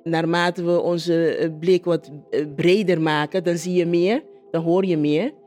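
A woman, a city councillor, recalls things in a spontaneous interview, pausing to search for words. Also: on the low side, fairly even intonation, darkish tone, faint music behind.

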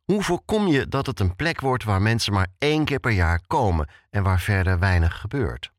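A man's voice: lowish voice, very animated, normal speed, no room sound, clean studio sound. A man hosting a podcast, narrating from a script in a conversational way and addressing the listener directly. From Netherlands. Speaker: podcast host.

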